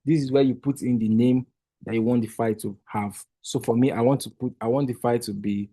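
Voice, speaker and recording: A man's voice: medium pitch, steady tone, a little fast, warm tone, dry recording. A man whose first language is Igbo, explaining a screen-share tutorial step by step to a webinar group, patiently and with frequent restarts. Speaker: workshop trainer.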